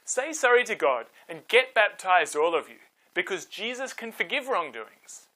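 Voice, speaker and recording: A man's voice: high voice, very animated, normal speed, sharp tone, heavily acted, low-quality recording. A man voicing a bold, earnest male preacher addressing a crowd emphatically in a children's story. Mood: earnest, emphatic. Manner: emphatic, declarative.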